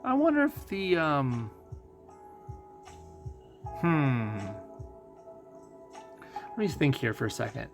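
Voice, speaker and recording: A man giving casual live game commentary as a streamer, musing and thinking out loud as he goes. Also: a little high, huge pitch swings, takes it slowly, gravelly, pauses often, music in the background. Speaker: gaming streamer.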